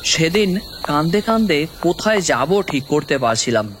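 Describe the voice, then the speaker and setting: A man's voice: fairly high pitch, big pitch swings, normal speed, background noise. A man playing a dejected, jobless young son who narrates his own cartoon story. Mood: melancholy narrating. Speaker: narrator and lead character. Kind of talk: first-person dramatic story narration. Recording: music bed under voice.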